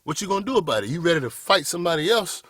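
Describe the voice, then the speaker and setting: A man's voice: fairly high pitch, big pitch swings, rapid-fire, fairly bright tone, no echo, studio quality. A man, giving a blunt, preachy motivational talk straight to the camera. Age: 30s. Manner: blunt, preachy, addresses viewers as "y'all boys". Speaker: motivational speaker. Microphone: camera mic, speaking to camera.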